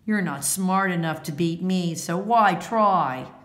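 A woman's voice: fairly low pitch, takes it slowly, a bit bright, reverberant. A woman voicing a boastful, taunting young boy in a children's picture-book read-aloud.

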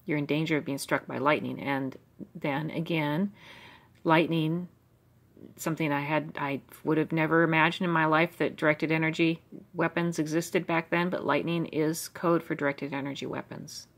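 A middle-aged American woman with a low voice talks over her old diaries. She drifts from thought to thought and fills the gaps with ums and you-knows. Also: steady tone, normal speed. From United States. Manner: musing, stream of consciousness, many fillers. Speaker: vlogger reading her old journals. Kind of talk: rambling personal commentary.

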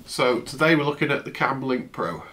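A man in his fifties, croaky from a flu, chatting casually through an unboxing in his home office, recorded on a camera's built-in mic. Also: mid-range voice, normal speed, slight background noise. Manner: relaxed, chatty, trails off. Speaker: tech vlogger. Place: home office, indoors, seated at a desk.

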